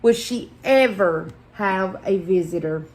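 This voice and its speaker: A woman reading a children's picture book aloud as a story-time host, deliberate and expressive, pausing for effect. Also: medium pitch. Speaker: library story-time reader.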